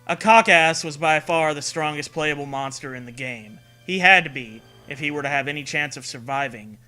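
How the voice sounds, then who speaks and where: A man with a sharp, very bright and fairly high voice. He reads a story in mock-dramatic tones and breaks into crude, sarcastic asides. Music plays behind him. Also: moderate pace. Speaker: co-host of a riffing show. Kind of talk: mock-dramatic story reading with casual joking.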